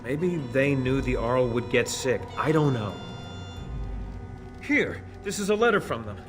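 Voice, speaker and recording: A man's voice: medium pitch, expressive, unhurried, natural voice. An actor playing a frightened man caught spying, stammering and pleading. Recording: game audio over loud music. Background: game music.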